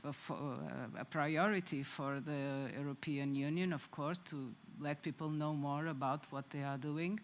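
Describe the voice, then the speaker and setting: A woman presenting at a conference, halting with many fillers and restarts. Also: sits low.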